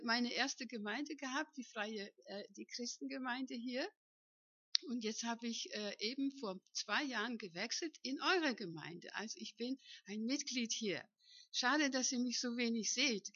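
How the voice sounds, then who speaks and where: A woman's voice: a little high, bright, forceful tone, no room sound, dull audio. A woman in her fifties who grew up in northern Germany, a missionary giving a chatty personal testimony to a church congregation and often checking that the room agrees. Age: 50s. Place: church hall.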